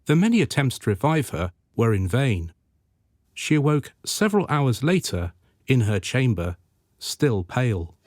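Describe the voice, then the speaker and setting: A man's voice: medium pitch, sing-song, a little slow, a little mellow, dry recording, clean studio sound. A man narrating a period radio drama as a solemn storyteller, with scripted dramatic delivery.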